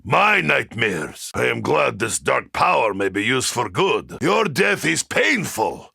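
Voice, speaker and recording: Gruff russian soldier from a video game, super gravelly and raspy, broken english, boastful and hot tempered. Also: male voice, mid-range voice, sing-song, slow pace, pushed and bright, no echo, studio quality, exaggerated voice. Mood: fierce, triumphant. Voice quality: gravelly, rough. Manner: broken English, dropping articles, speaking of himself by name. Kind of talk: video game character voice lines.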